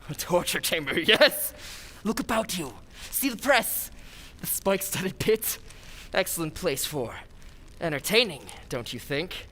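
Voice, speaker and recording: A man's voice: high voice, very animated, a little slow, a little hiss or hum, full character voice. An actor playing a mild-mannered man who turns into a cackling sadistic killer, in an old-time radio style. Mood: menacing. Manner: mild and hesitant, then gleeful and shouting. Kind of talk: 1940s radio acting.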